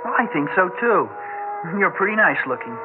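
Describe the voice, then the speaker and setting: Higher-voiced, bashful teenage boy. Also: very animated, noisy background. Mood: bashful, awkward. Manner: hesitant, halting. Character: bashful, earnest teenage schoolboy, kid brother. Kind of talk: 1960s radio drama acting. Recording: tinny 1960s radio recording, music behind.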